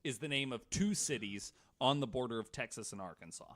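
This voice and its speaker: Animated, bright-voiced man telling crime stories. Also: mid-range voice, fairly quick. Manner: profane, joking. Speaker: podcast host. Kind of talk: casual true-crime storytelling with banter.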